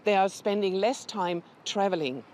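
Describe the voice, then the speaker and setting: A woman representing a charity, a guest in an interview, explaining the work in a steady, factual manner. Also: medium pitch, normal speed, a little hiss or hum. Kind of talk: informative interview answers.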